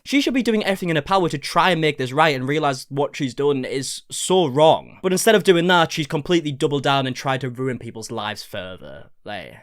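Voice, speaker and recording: A man's voice: mid-range voice, a bit bright. A man in his twenties giving casual, exasperated reaction commentary, chatty and swearing at times. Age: 20s. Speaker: commentary YouTuber.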